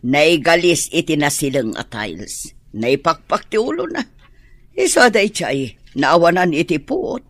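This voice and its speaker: A woman's voice: deep voice, big pitch swings, measured pace, husky, no echo, heavily acted. An actress with an Ilocano Filipino accent playing an exasperated, well-off middle-aged mother in a radio drama. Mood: troubled.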